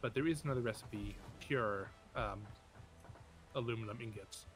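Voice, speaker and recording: A man co-playing a game, explaining things casually with hesitant pauses and fillers. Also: fairly low pitch, rough recording. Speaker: co-player. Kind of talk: casual gaming chat.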